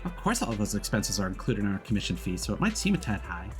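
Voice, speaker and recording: A man's voice: fairly low pitch, big pitch swings, quick pace, over music. A man voicing an indignant, defensive, money-minded young woman boss from a video game. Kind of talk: reading game dialogue aloud.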